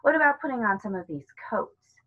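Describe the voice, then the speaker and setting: A woman's voice: a little high, animated, fairly quick, narrow, tinny audio, pitched above the performer's own voice. A woman voicing a sensible, slightly fussy schoolgirl in a storybook reading, proper and prone to complain. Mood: practical. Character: sensible schoolgirl, eldest sister, practical and fussy.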